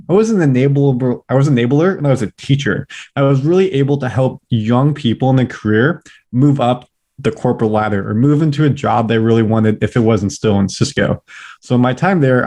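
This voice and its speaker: An upbeat young man with a Californian accent, guesting on a podcast. He talks in quick, eager bursts, full of fillers and casual asides, sounding like he's recorded at home. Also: mid-range voice, darkish tone. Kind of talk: casual interview chat.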